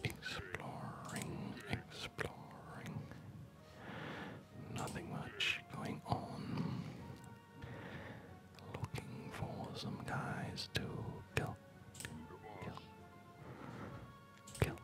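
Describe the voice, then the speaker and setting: Whispering male ASMR gamer, unhurried and hushed, trailing pauses, loud game music behind. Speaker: host of an ASMR gaming channel.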